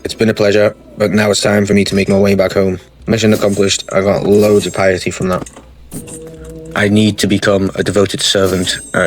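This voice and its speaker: A man commentating on his own strategy game playthrough, explaining each move step by step in a matter-of-fact way. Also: low-pitched. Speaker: gaming channel host. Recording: faint music bed under the voice.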